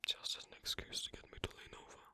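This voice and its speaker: An actor voicing an asocial, awkward but patient werewolf in an ASMR roleplay, speaking softly in halting, clipped phrases with a breathy, gravelly voice. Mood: shyly teasing, gentle. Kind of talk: ASMR roleplay, soft close speech.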